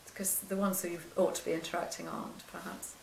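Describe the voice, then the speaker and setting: An older woman with a quiet voice, recalling her career as a lecturer in an interview, soft-spoken and hesitant with many restarts. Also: on the low side, level tone, fast talker, creaky, echoey room, a little hiss or hum, poor audio. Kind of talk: oral history interview.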